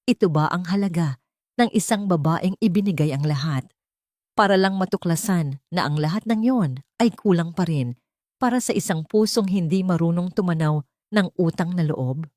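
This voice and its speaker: Woman narrator as a bitter betrayed wife, proud and grave, audio story, lowish voice. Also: normal speed, a little mellow, no echo, studio quality, lightly acted. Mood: bitter anguish.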